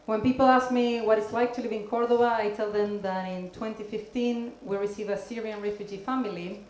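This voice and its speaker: A woman in her thirties from Argentina performing stand-up comedy with a deadpan, measured delivery and pauses. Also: mid-range voice. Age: 30s. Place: live comedy venue, on stage. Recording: echoey room, clear background noise.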